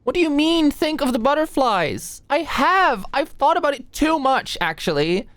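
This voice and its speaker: A woman playing an anxious, stressed young medical student in an ASMR audio roleplay, halting and stammering as she talks. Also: sits high, very animated, dry recording. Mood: frustrated, playful.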